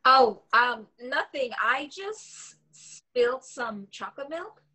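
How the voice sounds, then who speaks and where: A woman's voice: high-pitched, expressive, slow, bright, forceful tone, husky, heavily acted. A woman playing a wry, wary young online dater in a film script read-through on a video call. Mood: playful, awkward. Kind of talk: actor reading a script at a table read.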